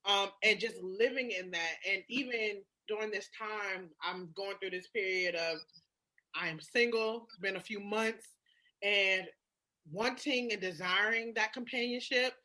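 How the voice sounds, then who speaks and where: A woman's voice: medium pitch, a little slow, sharp tone. A woman in a candid casual conversation, speaking emphatically and swearing freely. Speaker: podcast guest.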